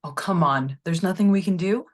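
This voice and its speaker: A woman's voice: fairly low pitch, normal speed, lightly acted. A woman playing a worried, eager junior police officer in a screenplay table read. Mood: concerned, pleading.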